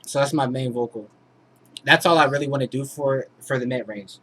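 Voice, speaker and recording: A man's voice: medium pitch, a little fast, bright, forceful tone. A man giving a casual, informal tutorial as a music producer, explaining things step by step. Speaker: music producer teaching vocal mixing.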